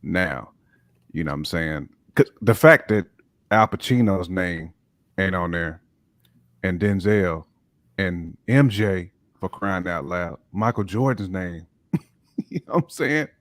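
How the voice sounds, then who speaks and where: A man's voice: deep voice, slow pace, no room sound, clean studio sound. A man with an American accent bantering casually as a panelist on a hip hop show, slangy and opinionated. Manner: slangy, opinionated, peppered with "you know what I'm saying".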